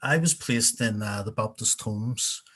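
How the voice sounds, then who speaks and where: A man's voice: fairly low pitch, unhurried, a bit bright. Belfast man, forties, easygoing guest recounting his past over a video call. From Northern Ireland. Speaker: interview guest.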